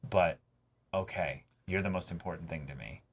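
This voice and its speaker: A man's voice: low voice, normal speed, a little hiss or hum. A man co-hosting a reaction show, discussing casually and emphatically, swearing and acting out imagined quotes. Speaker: co-host of an anime reaction show.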